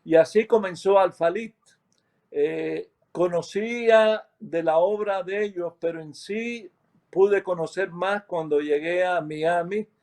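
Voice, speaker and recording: A middle-aged man from Cuba with a Cuban accent, calmly recounting a ministry's history, pausing often and correcting himself as he goes. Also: high-pitched. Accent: Cuban Spanish. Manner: unhurried, with many hesitations and self-corrections. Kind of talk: slow, reflective testimony.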